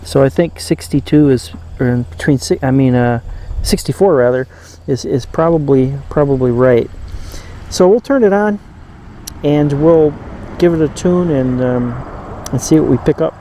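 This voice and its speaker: A man giving a casual, unscripted demonstration as a reviewer, pausing often and rambling a little as he goes. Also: mid-range voice, warm tone. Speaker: vintage radio reviewer. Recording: clear background noise.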